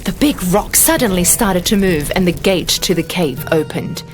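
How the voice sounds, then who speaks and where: A woman narrating a scripted children's fairy tale in a dramatic, expressive storytelling manner. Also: fairly low pitch, moderate pace. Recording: music behind the voice. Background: background music.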